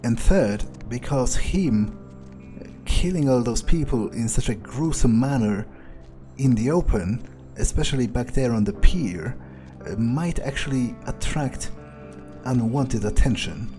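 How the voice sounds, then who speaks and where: A man thinking aloud in character during a video game playthrough, halting and matter-of-fact, with casual swearing. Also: mid-range voice, expressive, warm tone, faint music behind. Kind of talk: in-character musing aloud, thinking through events.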